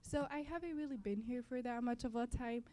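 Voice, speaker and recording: A woman's voice: fairly high pitch, brisk, warm tone. A young woman from Palestine, a native Arabic speaker, giving a welcome speech to an audience as a student club member, often repeating and correcting her phrases. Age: young adult. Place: college library event.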